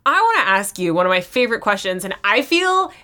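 A woman's voice: medium pitch, sing-song, quick pace, pushed and bright. A woman hosting a podcast, casually discussing a film and putting questions to her co-hosts. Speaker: podcast host posing questions.

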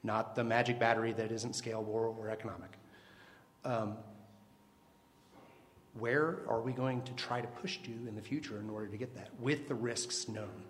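A man on a discussion panel answers in a measured, reflective way. His pitch sits in the middle range, and a faint hiss lies behind his voice. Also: fairly even intonation.

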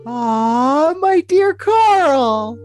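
Clingy, girlish young woman, played by a man, very high voice, cutesy and melodramatic podcast reading. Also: expressive, drawn-out words, darkish tone, faint music behind, heavily acted. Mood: cutesy, eager. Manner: cutesy, theatrical, overly dramatic. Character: girlish, clingy, babyish young woman texting her boyfriend. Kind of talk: overly dramatic reading of text messages.

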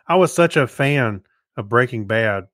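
A man co-hosting a podcast, chatting casually about shows in a halting way, often searching for words. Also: mid-range voice, lively intonation, a bit bright, no echo, studio quality. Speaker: podcast co-host.

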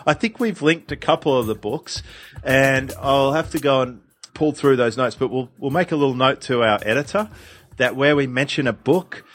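A man's voice: medium pitch, normal speed, fairly bright tone, slight background noise. A man hosting a casual podcast, upbeat and chatty. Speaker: podcast host.

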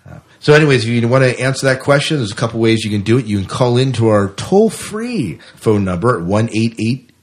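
A man's voice: medium pitch, sing-song, a little fast, slightly rough. A man co-hosting a podcast in casual, rambling chat, often repeating his phrases. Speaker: podcast co-host.

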